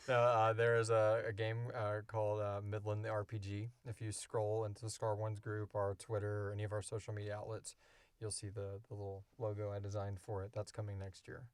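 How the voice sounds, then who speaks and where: A man's voice: lowish voice, monotone, a little fast, clean tone, dry recording. A man in his thirties hosting a casual podcast Q&A, rambling along in an enthusiastic, jokey way. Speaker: podcast creator and host. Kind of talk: casual Q&A chat.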